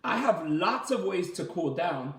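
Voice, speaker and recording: A man leading a children's story time as a teacher, reading aloud and asking the listeners simple questions in an animated way. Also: high-pitched, unhurried. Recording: roomy, echoey sound.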